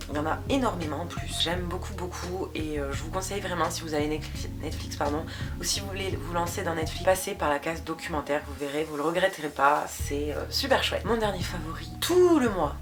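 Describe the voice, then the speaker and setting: A young woman chatting casually through a beauty product review, hesitant and full of fillers. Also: on the low side. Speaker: beauty vlogger. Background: background music.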